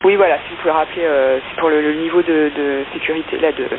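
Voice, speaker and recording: A man's voice: on the high side, fairly even intonation, fast. A man, an airport operator, in an informal exchange over aviation radio. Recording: hissy narrowband aviation radio, heavy static.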